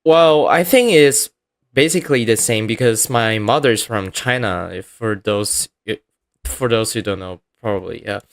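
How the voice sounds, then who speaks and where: A young man with a Taiwanese accent co-hosting a podcast in casual conversation, speaking haltingly and repeating phrases. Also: lowish voice, dry recording, studio quality. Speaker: podcast co-host.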